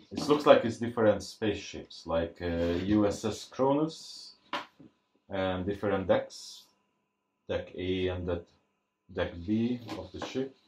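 A man giving casual, unscripted unboxing commentary, thinking aloud in a halting way as he presents. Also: deep voice, slight background noise. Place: indoors at a tabletop. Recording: roomy, echoey sound.

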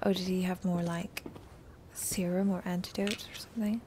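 A woman's voice: on the low side, flat delivery, normal speed, a little mellow, a little hiss or hum. A woman chatting casually as a host reacting to video game cutscenes.

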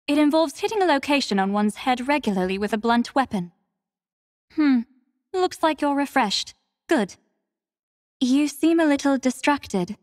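An actress playing a devoted, composed young female warrior in a video game, speaking with formal, measured, soft courtesy. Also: on the high side, no echo, clean studio sound, big-room sound, close to an everyday voice. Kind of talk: scripted mobile game character lines.

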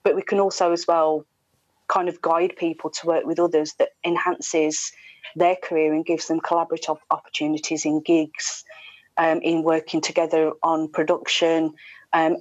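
A middle-aged British woman gives conversational answers as a guest. Her voice is on the low side and stays quite level. The video call sound is slightly dull, with a dry, close feel. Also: darkish tone, no echo. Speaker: radio presenter, interview guest. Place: indoor room, video call. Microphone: wired earphones with inline mic.

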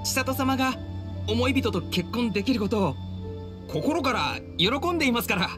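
A man's voice: extremely high pitch, very animated, normal speed. A man voicing a humble, dutiful young samurai officer in a Japanese anime game, speaking formally and deferentially. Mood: forced cheer. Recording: studio voice over game music. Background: game music.

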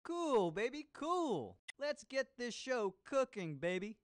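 A groovy disco chef hosting an educational game show. The delivery is slow, showy and full of jive, with pitch that swoops widely. Disco music plays behind the voice. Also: male voice, big pitch swings, full character voice. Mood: groovy, playful. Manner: exaggerated jive talk, drawn-out showy delivery. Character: groovy disco cooking show host, upbeat hipster. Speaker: game host. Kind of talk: scripted educational game character performance.

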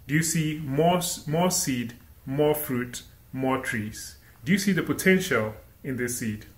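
A man's voice: mid-range voice, unhurried. Ghanaian man preaching in a roomy echo. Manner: animated, asks rhetorical questions. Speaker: guest speaker. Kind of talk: inspirational sermon. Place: indoor room against a plain wall.

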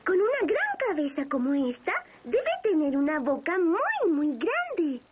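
A woman voicing a tiny, curious, excitable ladybug in a children's cartoon, squeaky and eager. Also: a little slow, very noisy, heavily acted. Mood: curious, delighted.

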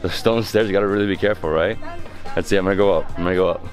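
Excitable male vlogger in his thirties, low deep voice, handheld camera. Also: very fast, big-room sound, music in the background. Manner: excitable, exclaims often, chatty. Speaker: travel vlogger. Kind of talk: casual travel vlog narration. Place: outdoors and inside a stone church. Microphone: camera held at arm's length.

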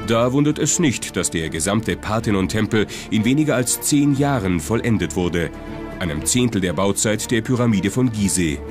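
Male, low voice, scripted documentary narration, prominent background music. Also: animated, moderate pace. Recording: music behind the voice.

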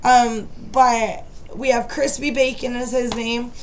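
Woman, young adult, high voice, losing her voice, rambling, apologetic, webcam in a bedroom. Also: little pitch movement, takes it slowly, slight background noise. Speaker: vlogger, makeup seller. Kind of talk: casual chat to camera. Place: dim bedroom at night.

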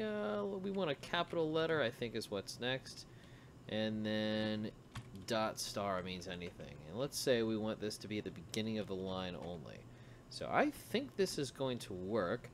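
Male tutorial instructor, lowish voice, informal and thinking aloud, with noticeable noise and keyboard typing behind him.

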